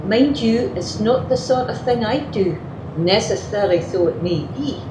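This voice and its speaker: A woman's voice: medium pitch, expressive, a little slow, lightly acted. Woman acting a grumbling Scottish wife, roomy recording. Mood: gossipy, self-satisfied. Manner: gossipy, complaining. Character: chatty, grumbling working-class Scottish wife, gossipy and work-shy. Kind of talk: radio play monologue. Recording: roomy, noisy remote home recording.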